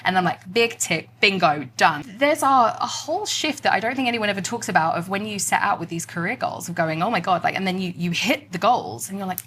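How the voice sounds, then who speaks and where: A woman's voice: medium pitch, animated, fast, few pauses, fairly bright tone, a little hiss or hum. A woman hosting a podcast interview, chatty and affirming, asking reflective questions. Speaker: podcast host. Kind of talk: casual interview conversation.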